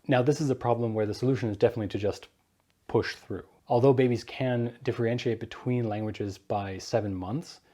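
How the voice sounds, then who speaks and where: Mellow young man giving earnest advice. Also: mid-range voice. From Germany. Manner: earnest, explanatory, gives direct advice. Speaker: vlogger. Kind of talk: personal advice vlog.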